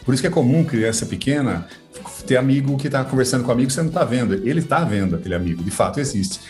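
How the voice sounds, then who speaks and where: A man's voice: medium pitch, expressive, quick pace, a little mellow, faint music behind. A man, explaining things conversationally as a guest, chatty and colloquial with frequent tag questions. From Sorocaba, São Paulo state, Brazil. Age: 50s. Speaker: podcast guest.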